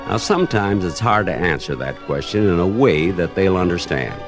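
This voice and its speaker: A man's voice: sits low, expressive, normal speed, over music. A man giving scripted, nostalgic narration in a wry, conversational way, as on a radio retrospective record.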